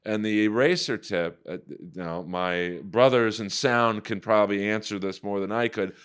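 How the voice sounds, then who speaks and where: A man with a deep baritone voice, chatting casually on a podcast and telling animated, profane stories. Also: normal speed, smooth. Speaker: comedian and voice actor guest.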